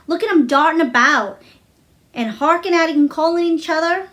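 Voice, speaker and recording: A woman's voice: very high-pitched, normal speed, fairly bright tone, pitched above the performer's own voice. A woman voicing a cheerful young Yorkshire country boy with eager, folksy dialect speech in a storybook reading. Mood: delighted.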